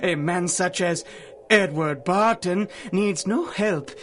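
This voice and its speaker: A servile, scheming merchant of exotic charms for a period radio play. He speaks in a highish male voice, drawing out his formal, flattering phrases at a very slow pace, over noticeable background ambience. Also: a little hiss or hum. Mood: servile flattery. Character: obsequious, sly exotic curio-shop keeper dealing in charms. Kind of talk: 1930s-set radio drama acting.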